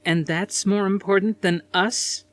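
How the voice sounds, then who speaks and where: A man's voice: high voice, fairly even intonation, a little slow, fairly bright tone, no echo, voice pushed up. A man voicing a spoiled, petulant young woman with a whiny, melodramatic delivery in an audiobook. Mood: angry, pleading. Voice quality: whiny, gasping.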